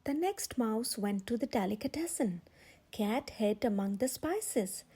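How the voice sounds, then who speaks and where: Woman, high-pitched, breathy, children's read-aloud, storytime host, dramatic emphasis. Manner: expressive, dramatic emphasis with drawn-out words.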